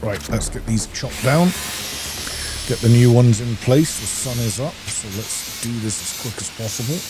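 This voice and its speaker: A man's voice: mid-range voice, moderate pace, very noisy. A man giving casual gameplay commentary, muttering to himself as he plays. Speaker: gaming host. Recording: loud game music behind voice. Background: game music and sounds.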